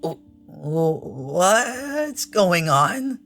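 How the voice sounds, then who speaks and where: A man acting out a panicky, high-pitched young furry creature. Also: sing-song, slow pace, creaky, a bit bright, soft background music, full character voice. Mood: dazed, confused. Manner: stammering, whimpering, exclaiming. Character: frightened young male furred creature, easily panicked. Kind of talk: dramatic reading of a game character.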